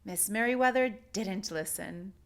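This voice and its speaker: A woman narrating a picture book aloud for children, with deliberate, expressive phrasing. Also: medium pitch. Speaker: children's story reader.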